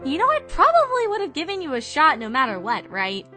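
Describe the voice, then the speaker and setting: Woman doing brainy sensible young pony girl, high lilting voice, kinda skeptical, music under it, fan audio drama. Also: wildly swooping pitch, brisk, sharp tone, full character voice. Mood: calm, amused. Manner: questioning, articulate. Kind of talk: animated fan-fiction audio drama acting.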